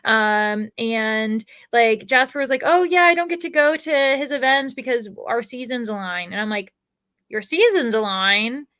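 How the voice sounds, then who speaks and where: Woman co-host talking books, kinda high bright voice, super casual and animated. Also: moderate pace, no room sound, studio quality. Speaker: book club live show co-host. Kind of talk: casual book chat.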